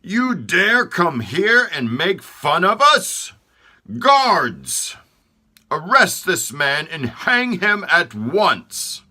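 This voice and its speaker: A man voicing an angry king who roars commands loudly. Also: high-pitched, very animated, very slow, voice pushed up, exaggerated voice. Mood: furious. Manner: roaring, loud.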